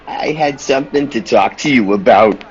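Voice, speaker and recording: An actor playing a troubled, secretive circus clown in an old-time radio play, speaking deliberately and ominously. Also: male voice, medium pitch, a little hiss or hum, natural voice. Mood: secretive, tense. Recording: dull, lo-fi call audio.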